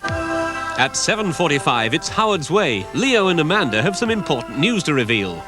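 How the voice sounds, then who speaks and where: A man's voice: on the high side, big pitch swings, normal speed. A man reading scripted television programme trailers as continuity announcer. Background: music.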